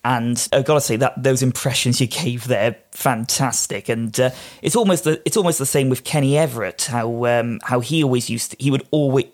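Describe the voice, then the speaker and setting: Man interviewing, hesitant and halting. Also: mid-range voice. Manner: hesitant, many fillers and restarts. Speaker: podcast interviewer. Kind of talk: casual interview chat.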